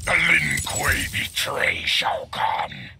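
A growling mutant warlord from a fighting game. He grinds out slow threats in a deeply creaky, brutish rasp, over loud game noise. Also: wildly swooping pitch, full character voice. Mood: threatening. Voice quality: gravelly, growling. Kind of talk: video game fight-intro dialogue.

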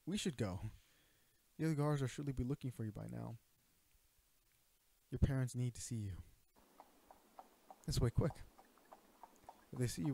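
A man's voice: medium pitch, creaky, warm tone, slight background noise. A man playing an earnest royal knight and bodyguard in a romantic audio roleplay, speaking formally and hesitantly to his princess. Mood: urgent. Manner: earnest, hesitant, stammering, formal courtly address. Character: young male knight, protective royal bodyguard, earnest and flustered. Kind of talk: romantic audio roleplay acting, addressing the listener.

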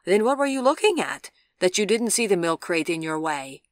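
A woman's voice: mid-range voice, lively intonation, normal speed, breathy edge, no echo, clean studio sound, voice pushed up. A woman voicing an uncertain young female hospital patient in an audiobook, direct and searching as she speaks. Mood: puzzled, insistent. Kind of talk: audiobook character voice.

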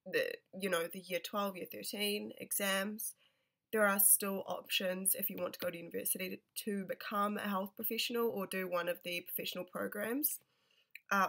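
Brief: twenties New nurse vlogger, stuffy and nasal, gravelly edges, casual and halting. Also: female voice, mid-range voice, a bit bright. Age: 20s. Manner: rambling, many ums and restarts. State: nasal from a cold. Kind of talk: casual advice vlog. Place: small bedroom, indoors. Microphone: webcam at close range.

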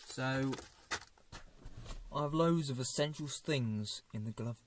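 A man's voice: mid-range voice, takes it slowly, a little hiss or hum. A young man, seated in a parked car, shows what he keeps in it to a camera on the dashboard and jokes dryly about it. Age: young adult. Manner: dry, self-mocking, chatty. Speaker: vlogger. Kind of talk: casual car tour vlog. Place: parked small car, indoors. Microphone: fixed dashboard camera.

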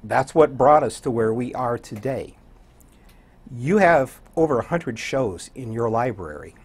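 Husky older American man. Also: medium pitch, animated, measured pace. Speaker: radio program director and DJ, interview guest. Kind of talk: conversational studio interview. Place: small indoor TV studio.

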